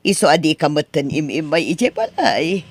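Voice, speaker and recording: A man's voice: on the high side, big pitch swings, moderate pace, no echo. A man voicing Aunt Teresa, a stern older woman who lends money, scolding and disappointed in a radio drama.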